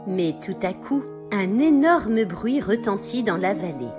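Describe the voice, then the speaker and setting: A woman's voice: mid-range voice, lively intonation, measured pace. A woman narrating a scripted children's story as a storyteller. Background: music.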